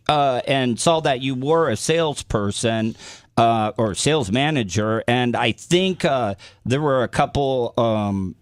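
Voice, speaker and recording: A man talking on a radio show in a halting, stammering way, apologetic and a bit defensive. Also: medium pitch, dry recording, clean studio sound. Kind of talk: apologetic radio talk, telling anecdotes. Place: radio studio.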